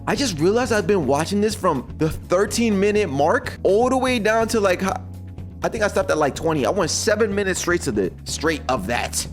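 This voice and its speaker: A man's voice: high-pitched, expressive, moderate pace, faint music behind. A man streaming a casual reaction to video game voice lines, talking in slang with joking exasperation.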